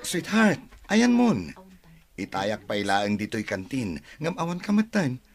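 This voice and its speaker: An actor with a Filipino accent playing a flustered, evasive young son and sweetheart, blustering and pleading in a radio drama. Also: male voice, medium pitch, sing-song, takes it slowly, creaky, heavily acted. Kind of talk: Ilocano radio drama acting.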